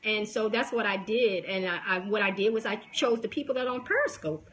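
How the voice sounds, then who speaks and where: A woman's voice: mid-range voice, fairly quick, dull audio, a little hiss or hum. A woman in her forties with a youthful baby voice, chatting animatedly on a phone live stream about promoting books, with lots of restarts and laughs. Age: 40s. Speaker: book promotion coach and podcaster. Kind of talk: casual live-stream chat.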